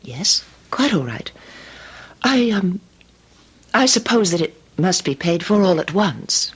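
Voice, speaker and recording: An actress playing a fretful, guilt-ridden young wife in old-time radio drama style, distraught and pleading. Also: female voice, on the low side, sing-song, slow, breathy edge, exaggerated voice. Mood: shaken, polite.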